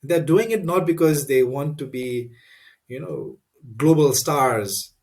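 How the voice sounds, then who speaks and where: A man, a guest in an interview, answering thoughtfully with many pauses, fillers and restarts. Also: a little high, darkish tone.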